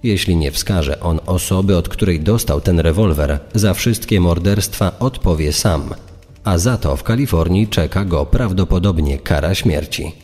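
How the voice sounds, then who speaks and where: A man with a deep voice reads scripted documentary narration. His words trail off in a long reverb. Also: normal speed, a little mellow, faint music behind. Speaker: secondary narrator.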